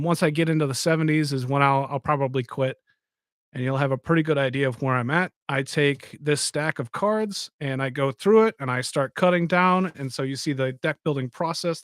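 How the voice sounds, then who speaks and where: A man's voice: fairly high pitch, clear, resonant tone, no room sound, clean studio sound. A man hosting a live stream, chatting casually and rambling as he talks to his viewers. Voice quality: says he has a soft voice. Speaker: podcast host.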